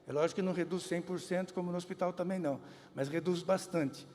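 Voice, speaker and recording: High-voiced man answering at a press conference. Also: steady tone, a little mellow. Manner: explanatory, measured, occasional restarts. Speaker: health official answering press. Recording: reverberant hall.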